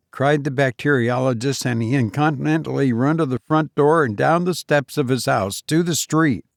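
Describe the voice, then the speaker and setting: A man's voice: medium pitch, gravelly, no room sound, clean studio sound. A man reading a story aloud as scripted audiobook narration. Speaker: audiobook reader.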